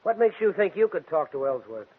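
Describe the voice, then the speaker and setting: An actor playing an eager, edgy aspiring stage actor in a 1940s radio drama, earnest and quick to bristle. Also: male voice, on the high side, sing-song, slightly rough. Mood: tense, curt. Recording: phone-like old 1940s radio recording.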